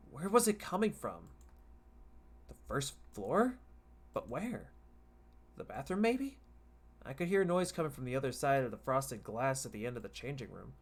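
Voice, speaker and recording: A man streaming a dramatic, expressive read-aloud of a visual novel, narrating in the first person. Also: medium pitch. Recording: loud music behind the voice.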